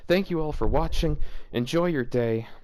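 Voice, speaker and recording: Sardonic young-sounding man with a clear voice. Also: fairly high pitch, moderate pace, a little mellow. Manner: sardonic, exasperated. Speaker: host of a fan fiction reading series. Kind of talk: casual commentary and read-aloud.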